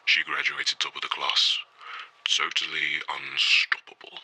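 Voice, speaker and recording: An actor playing a guarded, tired former super-soldier recruit who speaks in terse, clipped phrases. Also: male voice, rumbling low, sing-song, measured pace, vocal fry, sharp tone, breathy edge, exaggerated voice, poor audio. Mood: weary.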